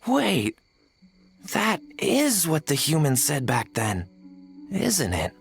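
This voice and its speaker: A woman voicing a gruff, anxious and wary tanuki spirit in a video game, with a raspy voice. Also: sits low, wildly swooping pitch, slow, soft background music, heavily acted. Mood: dismayed.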